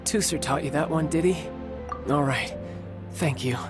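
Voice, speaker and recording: An actor playing a cocky young warrior and protective big brother in a video game, with theatrical, measured delivery. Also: male voice, a little high, loud music behind the voice, lightly acted. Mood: wistful. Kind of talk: video game character acting.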